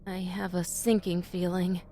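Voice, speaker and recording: Earnest fantasy devotee, music underneath. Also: female voice, fairly low pitch, little pitch movement, unhurried, dark tone. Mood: uneasy. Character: determined, inquisitive female devotee of a trickster god. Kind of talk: scripted fantasy audio drama acting.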